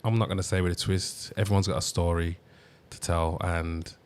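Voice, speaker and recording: A man hosting an interview, asking slow, hesitant questions full of fillers. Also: sits low, little pitch movement, clean tone. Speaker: podcast host. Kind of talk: casual interview.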